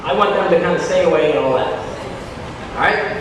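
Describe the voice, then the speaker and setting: High-pitched man speaking in a very echoey hall. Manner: emphatic, dramatic, drawn-out key words. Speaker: keynote speaker, teacher. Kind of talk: motivational keynote speech to teachers. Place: large hall at a teachers' conference. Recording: very echoey hall, distant, noisy.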